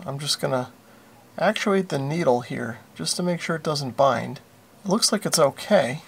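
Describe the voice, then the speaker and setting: Higher-pitched, creaky-voiced man explaining repairs. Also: fairly bright tone. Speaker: radio repair hobbyist and video host. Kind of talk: informal hands-on repair tutorial narration.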